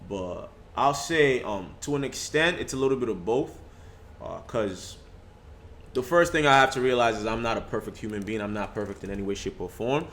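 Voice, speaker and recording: A man's voice: on the low side, lively intonation, moderate pace, fairly bright tone, slight background noise. A young man talking in a casual podcast discussion, reflective and full of restarts. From Nigerian family background. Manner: reflective, with frequent restarts and "you know".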